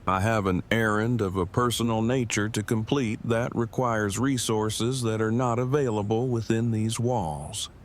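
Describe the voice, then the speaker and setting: Man acting stiff, wordy, lowish-voiced literal man. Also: steady tone, measured pace, hardly any pauses, a little hiss or hum, close to an everyday voice. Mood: stiff, matter-of-fact. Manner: formal, stilted, wordy delivery. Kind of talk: fan audio drama dialogue.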